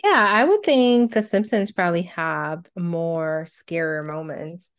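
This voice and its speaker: A woman with a slightly low voice co-hosts a casual countdown show. She reads out each entry plainly, then drifts into conversational reminiscing. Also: lively intonation, unhurried, smooth. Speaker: co-host of a cartoon countdown show. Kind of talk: casual chat, reading out countdown entries.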